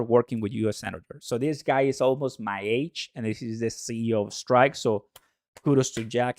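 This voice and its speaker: A man from Mexico, a native Spanish speaker with a Mexican accent, hosting a livestream in an excitable, hype-driven way and repeating phrases for emphasis. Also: mid-range voice, no room sound, studio quality. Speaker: livestream host. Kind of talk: excitable livestream commentary to viewers.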